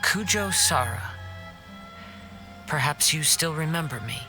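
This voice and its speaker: A man playing a calm, principled young wandering samurai in a video game cutscene, speaking formally and gently. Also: fairly high pitch, a little slow, over music. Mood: calm, earnest.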